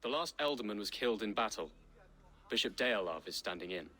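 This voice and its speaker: A man's voice: lowish voice, expressive, fairly quick, sharp tone. An actor playing an earnest, anxious young nobleman in a video game, courteous and a little hesitant. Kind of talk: video game character acting. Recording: music behind the voice.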